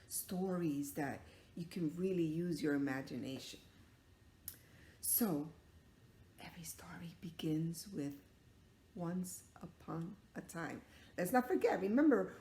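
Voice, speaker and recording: Slow, echoey woman storyteller for children. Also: on the low side, mellow. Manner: encouraging, asks questions, acts out sound effects. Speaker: teacher telling stories to young children. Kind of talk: storytime for young children, animated improvised storytelling. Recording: roomy echo, clear background noise.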